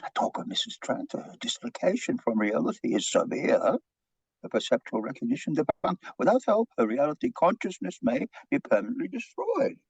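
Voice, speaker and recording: An eccentric foreign psychiatrist for a stage comedy, pompous and dramatic. The voice is high and gravelly, leaping all over its range, with stilted, earnest phrasing. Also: male voice, wildly swooping pitch, brisk, no echo, full character voice. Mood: grave, fervent. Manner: stilted grammar, theatrical, pompous. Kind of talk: comic stage play reading. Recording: dull lo-fi microphone sound.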